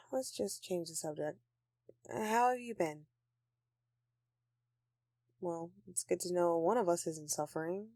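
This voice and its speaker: Sulky, sardonic Christmas elf played by a woman, lowish voice. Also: slow, pauses often, a little mellow, no room sound, studio quality. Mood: sheepish, tender. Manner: sarcastic, sighing, dramatic. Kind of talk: intimate audio roleplay, addressing a partner.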